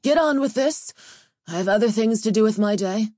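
A woman's voice: on the low side, steady tone, moderate pace, gravelly, warm tone, breathy edge, dry recording, heavily acted. A woman acts a battle-worn female emissary in a fantasy audiobook. She is brusque, impatient and irreverent, delivering her demands with sardonic bite in a dry, close sound. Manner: curt, sardonic. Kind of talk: audiobook character voice.

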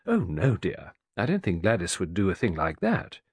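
A man voicing a large, formidable woman who calmly soothes her brother, loyal and dry. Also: sits low, normal speed, slightly rough, no echo, heavily acted. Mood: placating. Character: large, formidable, calm woman keeping house.

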